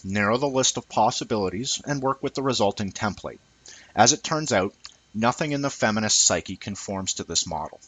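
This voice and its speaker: A man reading a scripted essay aloud with deliberate, emphatic phrasing and dramatic pauses. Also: lowish voice, a bit bright, breathy edge, no room sound. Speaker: reader of a written essay.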